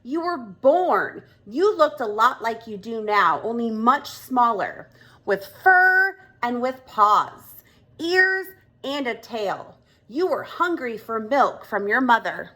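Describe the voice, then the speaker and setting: A woman's voice: on the high side, big pitch swings, slow, bright, forceful tone, heavily acted. A woman voicing a friendly grown-up frog in a children's storybook, explaining things patiently and with emphasis. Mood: patient, reassuring. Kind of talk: children's storybook read-aloud dialogue.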